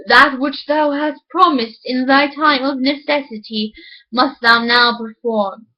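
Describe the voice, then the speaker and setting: A woman's voice: high voice, fairly even intonation, unhurried, highs cut off. A woman voicing a stern, fatherly king who makes solemn, formal pronouncements in a storybook.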